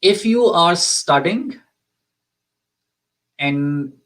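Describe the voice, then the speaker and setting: A man's voice: a little high, expressive, extremely slow, a bit bright, rough recording. A man from Pakistan hosting a live question-and-answer session as an immigration consultant, speaking with frequent ums and restarts. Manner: hesitant, many ums and restarts.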